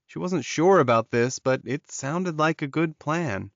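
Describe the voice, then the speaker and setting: A man's voice: a little high, expressive, a little slow, vocal fry, no echo, highs cut off. A man narrating romance fiction as an audiobook, in a dramatic scripted style.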